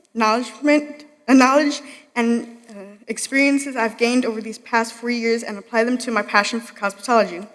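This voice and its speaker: A young woman reads a graduation speech with care, tripping over a word now and then. Her voice is a little high. The sound rings with a long hall reverb. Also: a bit bright. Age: young adult. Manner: reads carefully, occasional stumbles. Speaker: graduating student speaker. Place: large hall.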